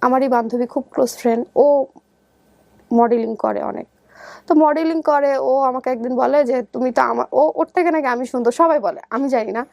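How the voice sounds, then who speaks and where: Young woman, Bangladeshi accent, high pitch, dry recording, personal interview storytelling, conversational, re-enacted dialogue. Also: normal speed, gravelly, darkish tone, no echo, lo-fi sound. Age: young adult. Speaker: guest telling her life story.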